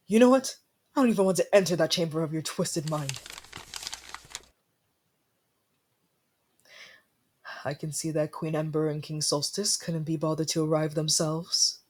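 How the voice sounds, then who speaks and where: Casting: an actor as a proud young fantasy prince of frost, tsundere temper, husky mid-pitched voice, formal and prickly. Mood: flustered, indignant. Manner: indignant, formal, dramatic sighing delivery. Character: young haughty, easily flustered ice prince, tsundere temper. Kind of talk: fantasy audio roleplay acting.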